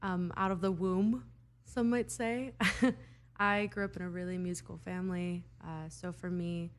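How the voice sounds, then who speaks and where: A woman's voice: on the low side, fairly even intonation, measured pace. A young woman from Idaho, a singer-songwriter answering interview questions in an earnest, thoughtful way with laughs between answers.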